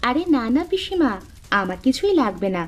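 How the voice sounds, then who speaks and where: A woman voicing a lively, bossy but caring young woman in a cartoon, with a chirpy, insistent manner. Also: sits high, fairly quick. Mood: chirpy, eager. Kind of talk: cartoon dialogue acting.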